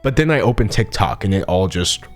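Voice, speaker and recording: A man in his early twenties giving casual comedic commentary as an online video host, self-mocking, with dramatic pauses and emphasis. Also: sits low, expressive.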